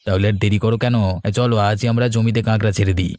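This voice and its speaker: A man's voice: fairly low pitch, steady tone, very fast, darkish tone, no room sound, close to an everyday voice. A man playing an earnest, plain-spoken village rice farmer and father in an educational cartoon. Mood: eager.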